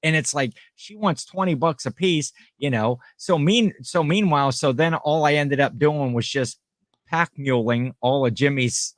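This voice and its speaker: Middle-aged guy co-hosting a chatty podcast, slightly higher voice, dry close sound, acts out the people in his stories. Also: normal speed, no echo. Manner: storytelling, acts out quoted people. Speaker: podcast co-host. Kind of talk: casual chat.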